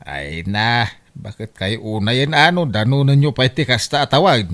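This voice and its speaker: A man's voice: medium pitch, expressive, normal speed, a bit bright, lightly acted. A man playing a grumbling, competitive middle-aged youngest son in an Ilocano comedy radio drama. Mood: coaxing.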